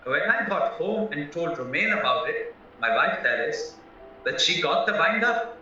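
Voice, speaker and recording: A man's voice: a little high, lively intonation, measured pace, pushed and bright, heavily acted. A man playing a naive, chatty, polite young husband under suspicion in an audio play, earnestly explaining himself. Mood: anxious, earnest. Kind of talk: stage-style audio play acting. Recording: very echoey, distant, muffled, music behind.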